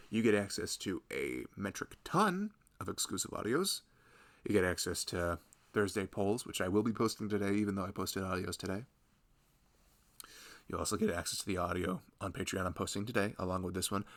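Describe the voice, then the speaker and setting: A low-voiced man with a gravelly fry rambles casually, as if making it up as he goes. He is self-deprecating and informal, talking like a creator addressing his listeners. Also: fairly bright tone. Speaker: audio roleplay creator. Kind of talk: casual rambling improvised intro.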